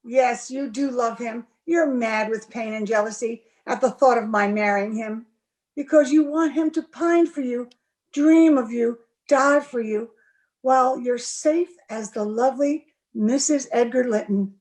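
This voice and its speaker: Lady doing a proud young gentlewoman in love, highish voice, slow dramatic delivery, old radio play. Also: lightly acted. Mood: defiant, accusing. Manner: theatrical, emphatic. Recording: remote video-call recording.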